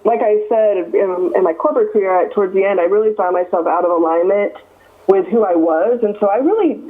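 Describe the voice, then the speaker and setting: A Midwestern American woman in her fifties, an author being interviewed, talking earnestly with many ums and restarts. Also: medium pitch. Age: 50s. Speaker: author guest. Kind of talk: casual interview over the phone. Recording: tinny phone line.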